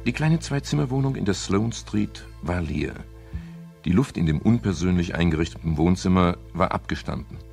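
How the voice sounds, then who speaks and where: An actor playing a wry, world-weary private detective in a 1970s German radio crime drama, speaking in a dry, measured and polite way. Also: male voice, low voice, vocal fry, a little mellow. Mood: sober narrating. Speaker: lead actor and first-person narrator. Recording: 1970s radio play with music.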